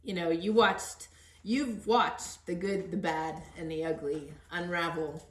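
A woman's voice: on the low side, steady tone, unhurried. A middle-aged woman hosting a conversational podcast interview in an earnest, encouraging manner. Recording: roomy, echoey sound.

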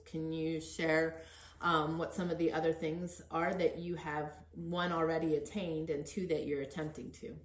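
Low-voiced woman hosting, roomy echo. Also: darkish tone. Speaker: podcast host. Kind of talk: informal interview.

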